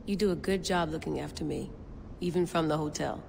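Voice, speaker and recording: Tired low-key agent in a game, raspy edges, noisy ambience. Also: female voice, a little fast, a little mellow. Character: tired, guarded field agent woman.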